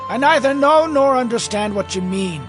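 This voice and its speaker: A man's voice: very high voice, expressive, moderate pace, music in the background. A man playing an agitated fisherman disciple in a scripture audio drama, drawing out emphatic, defensive words. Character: Peter, an agitated fisherman disciple denying accusations.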